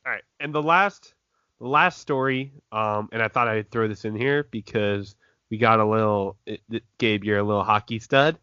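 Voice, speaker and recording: A man's voice: lowish voice, moderate pace, pushed and bright, clean tone, no echo. A young man hosting a casual podcast chat, reading out news stories and laughing easily. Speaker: podcast host. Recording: dull lo-fi dry recording.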